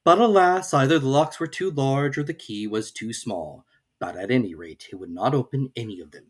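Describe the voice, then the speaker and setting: A narrator reading a classic children's story aloud in an expressive storytelling style. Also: medium pitch, normal speed, breathy edge. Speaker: audiobook narrator.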